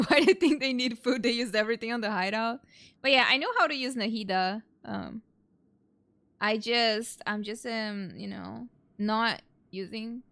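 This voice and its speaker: A woman's voice: fairly high pitch, moderate pace, a bit bright. A young woman giving casual, rambling, reactive let's play commentary in an informal way. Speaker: game streamer. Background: game music.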